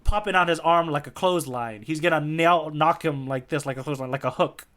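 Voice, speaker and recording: A man with a very bright, slightly high voice talks as a podcast co-host. He explains things casually and with animation, working through each point conversationally and often checking that he is being followed. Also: a little fast.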